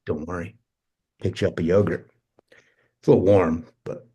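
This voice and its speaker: A man acting the part of a brash, charming, slangy cousin with a husky voice in a screenplay read-through. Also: low voice, steady tone, moderate pace, dark tone, muffled recording. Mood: easygoing. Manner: slangy, cocky, nonchalant. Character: Benji, brash, charming, restless, foul-mouthed male cousin. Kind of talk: informal screenplay table read, slangy naturalistic dialogue.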